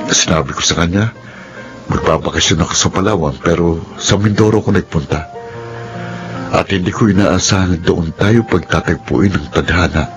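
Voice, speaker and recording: A man's voice: low-pitched, lo-fi sound, natural voice. A man with a Filipino accent acting an earnest, tender young suitor in a romantic drama, with a pleading, sweet-talking manner. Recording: music bed under dialogue.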